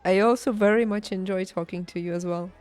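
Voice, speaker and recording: A woman game streamer chatting casually, thinking aloud haltingly and laughing easily, as if working out each thought while she talks. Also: mid-range voice, fairly even intonation, a little mellow. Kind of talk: casual livestream chat over gameplay. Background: game music.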